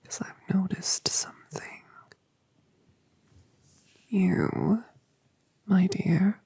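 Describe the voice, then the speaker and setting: A woman voicing a colossal, doting mother dragoness, whispering breathily and cooing gentle questions in an ASMR roleplay. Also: mid-range voice, very slow, gravelly, husky, no echo, exaggerated voice. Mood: tender.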